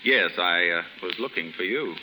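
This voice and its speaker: An actor playing a genial, hesitant, lovelorn well-bred bachelor in a 1930s radio drama, polite and gently teasing. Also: male voice, low-pitched, moderate pace. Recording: hissy 1930s radio recording, reverberant stage.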